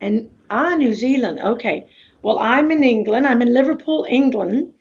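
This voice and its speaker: A woman's voice: fairly high pitch, animated, normal speed, a little mellow. A woman whose first language is Greek, from Florida, telling her life story in a chatty, rambling way on a video call. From United States (Florida). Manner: chatty, rambling, self-interrupting. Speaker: summit speaker. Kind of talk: personal life story talk. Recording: dull lo-fi video call audio.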